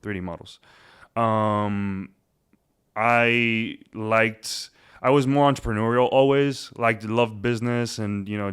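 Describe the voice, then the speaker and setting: A man in his twenties, born in Cuba, talking casually as an interview guest with a relaxed, rambling manner and many fillers. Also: on the low side, clean tone. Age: 20s. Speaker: guest, realtor.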